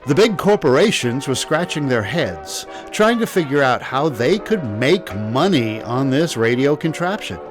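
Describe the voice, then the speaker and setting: A man's voice: medium pitch, sing-song, measured pace, hardly any pauses. A man narrates a scripted history in a wry, conversational storytelling way. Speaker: podcast host. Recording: music playing behind the voice. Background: background music.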